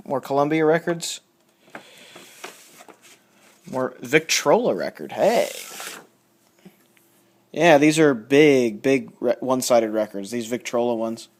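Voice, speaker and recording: A man's voice: medium pitch, takes it slowly, a little hiss or hum. Rambling man in casual show-and-tell. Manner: rambling, thinking aloud, reading labels out. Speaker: record collector showing a haul.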